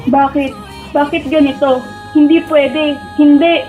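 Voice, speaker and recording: A woman's voice: sits high, steady tone, unhurried, phone-quality sound, soft background music. A woman with a Filipino accent playing an emotional, pleading young woman who narrates and speaks in a tender, heartbroken radio drama. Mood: distraught. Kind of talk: dramatic first-person narration and dialogue.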